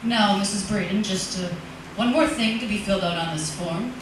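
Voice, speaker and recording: A woman playing a matter-of-fact police sergeant in an old-time radio drama, speaking in a measured, official, deliberate way. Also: mid-range voice, close to an everyday voice. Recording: echoey, distant, noisy room with clatter.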